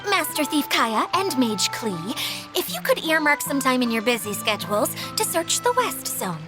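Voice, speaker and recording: Super high shy game mascot girl, polite n apologetic, voice swoops about, bit of music behind. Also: very animated, normal speed. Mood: earnest, polite. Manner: hesitant, apologetic, courteous. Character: timid, polite, self-doubting female mascot caretaker. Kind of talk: video game voice acting.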